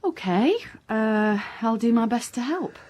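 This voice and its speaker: A woman playing a guarded, firm police inspector in a naturalistic radio drama, speaking in a measured, dry way. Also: on the high side, animated, a little mellow. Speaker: actor playing a police detective.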